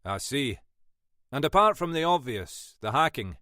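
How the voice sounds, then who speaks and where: A man's voice: mid-range voice, very animated, a little slow, pushed and bright, no room sound, clean studio sound, voice pushed up. A man voicing a weary older police inspector in an audiobook story, with a wry, avuncular, teasing manner. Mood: probing.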